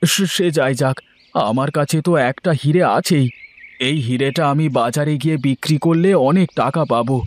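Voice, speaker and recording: A man's voice: a little high, moderate pace, natural voice. A man voicing a poor, stubborn, henpecked husband in a cartoon fairy tale, with a plaintive, self-pitying manner. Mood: excited, hopeful. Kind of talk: animated fairy-tale voice acting. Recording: music behind the voice.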